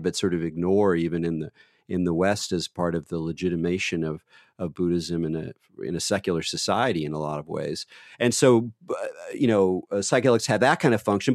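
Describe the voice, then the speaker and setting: Guy with a big booming deep voice, rambling on, lots of "you know"s, dry close sound. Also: no room sound. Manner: rambling, full of "you know" fillers and restarts. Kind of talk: thoughtful conversational talk.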